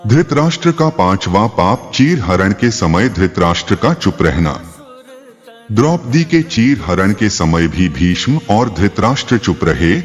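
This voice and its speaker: Deep-voiced male storyteller with long reverb. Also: very animated, measured pace. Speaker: narrator. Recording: long reverb, faint music behind. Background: faint background music.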